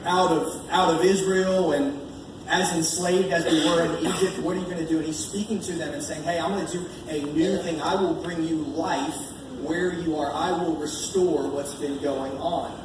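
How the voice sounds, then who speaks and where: A man's voice: on the high side, steady tone, moderate pace. An American man preaching an informal, conversational sermon, earnest and repeating key phrases for emphasis as he reads and explains scripture. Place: large echoey indoor church meeting space. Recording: echoey room, distant mic, background noise.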